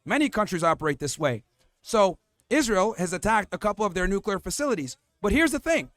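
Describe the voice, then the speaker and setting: A man hosts a street debate and argues with firm confidence, working through his case point by point. His voice sits fairly high for a man. The recording is close and dry. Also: very animated, fairly quick, a bit bright, no echo. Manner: assertive, lists points by number, cuts in to hold the floor. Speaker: debate host.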